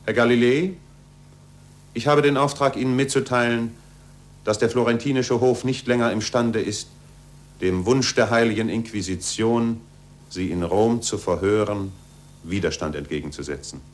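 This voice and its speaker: An actor playing a formal, unyielding court official with stiff announcements in a 1940s German radio play. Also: male voice, mid-range voice, normal speed, lightly acted. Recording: faint noise and hum, vintage radio.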